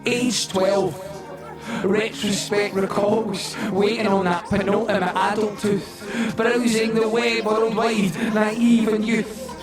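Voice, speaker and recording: A man's voice: high voice, flat delivery, slow pace. A man performing a brooding personified memory that preaches in rhythmic, incantatory rhyme in an audio play. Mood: confessional, intense. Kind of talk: poetic spoken-word monologue in an audio play. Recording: very echoey, distant voice under loud music. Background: music score.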